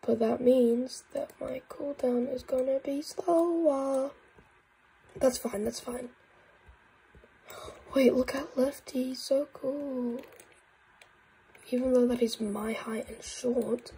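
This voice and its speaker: A woman with a blocked nose and a croaky voice, giving excitable gameplay commentary with frequent whispered asides. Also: high-pitched, takes it slowly, long pauses, rough recording. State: congested, croaky voice. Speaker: gaming YouTuber.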